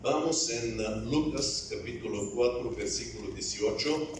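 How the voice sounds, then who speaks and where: A man preaches a sermon in a church, his words slow, deliberate and a little halting, as if still finding his way in the language. His voice comes from some distance away and rings through a very echoey room, with faint ambient noise underneath. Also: medium pitch. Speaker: evangelist preacher.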